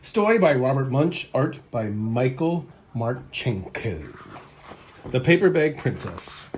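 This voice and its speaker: A man hosting a storytime, reading a picture book aloud in an expressive storytelling style. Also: mid-range voice, takes it slowly, slight background noise. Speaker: storyteller, host.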